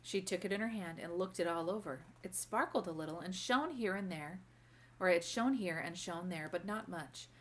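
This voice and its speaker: A woman's voice: on the low side, moderate pace. A woman narrating a children's novel as a read-aloud. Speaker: storybook reader.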